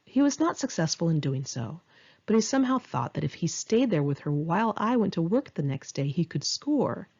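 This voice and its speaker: Woman, lowish husky voice, candid conversational memoir narration, slightly muffled lo-fi recording. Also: big pitch swings, normal speed, darkish tone. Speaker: author reading a memoir chapter. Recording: dull, lo-fi home recording.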